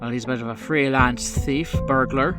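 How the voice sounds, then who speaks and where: A man plays a gruff crime boss for a tabletop game, sitting a little higher than his usual talk. He is curt and businesslike, needles whoever he talks to, and swears freely in old-fashioned slang. Music plays clearly behind him. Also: fairly even intonation, normal speed, close to an everyday voice. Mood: irritated, guarded. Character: gruff male mob boss and bar owner, needling, businesslike.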